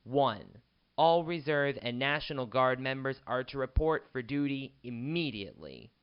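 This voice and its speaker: A man playing a grave, formal government spokesman giving a measured emergency address in a radio drama. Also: medium pitch, expressive, clear, resonant tone, lo-fi sound, natural voice. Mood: stern, formal. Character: solemn male government spokesman addressing a nation in crisis.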